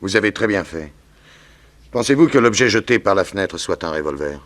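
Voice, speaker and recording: An actor playing a calm, courteous detective who questions people methodically in a 1960s French radio drama. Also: male voice, low-pitched. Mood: courteous, businesslike. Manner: measured, courteous, probing.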